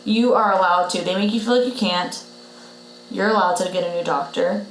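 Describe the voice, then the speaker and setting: A woman's voice: on the low side, moderate pace. A woman co-hosting a podcast, giving blunt health advice in a casual, emphatic way and repeating her key points. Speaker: podcast co-host, patient. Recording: roomy echo with faint noise.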